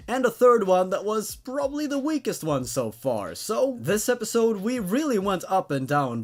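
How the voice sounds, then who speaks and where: A man hosting a horror story show, giving expressive, opinionated but apologetic commentary and often stammering and repeating words. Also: fairly high pitch. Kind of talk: reading and reviewing amateur horror stories.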